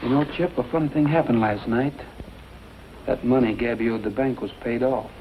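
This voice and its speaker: An actor playing a kindly, steady young cowboy hero in a 1940s western drama, on a dull, noisy recording. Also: male voice, mid-range voice, normal speed, natural voice. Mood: friendly. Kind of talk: 1940s film and radio western acting. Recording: dull lo-fi old recording, background noise.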